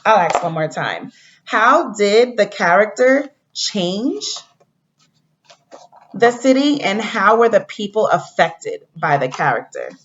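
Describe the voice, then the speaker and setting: A woman teacher giving a read-aloud lesson to young pupils, speaking in a deliberate way and addressing the children directly. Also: mid-range voice, sing-song. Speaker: elementary school teacher. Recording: dull lo-fi recording.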